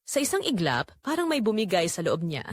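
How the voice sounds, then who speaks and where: A woman's voice: mid-range voice, wildly swooping pitch, brisk, dry recording. A woman narrating a scripted audio drama in a dramatic, suspenseful way.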